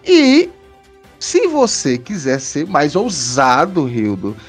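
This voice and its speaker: Male podcast host, very animated intonation, higher register, casual and chatty. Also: slow, slightly rough, faint music behind. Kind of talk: casual podcast chat, explaining supporter tiers.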